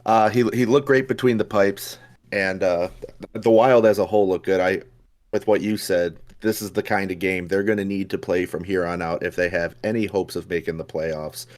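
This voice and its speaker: A young man co-hosting a podcast, his voice hoarse, talking in a relaxed, chatty way with lots of fillers and restarts. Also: sits low, moderate pace. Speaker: podcast co-host. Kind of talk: casual chat.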